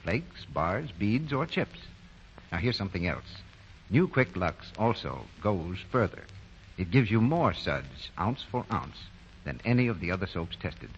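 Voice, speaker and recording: Low, reverberant old-time radio announcer, man. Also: sing-song, unhurried. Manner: polished, emphatic sales delivery. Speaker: radio announcer reading sponsor advertisement. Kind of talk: 1940s radio commercial announcing. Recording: muffled reverberant 1940 radio recording, noisy.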